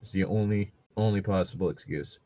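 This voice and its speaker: A man's voice: low voice, a little fast, mellow, dry recording. A man reading fan fiction aloud with casual, exasperated, sarcastic, sweary comic commentary.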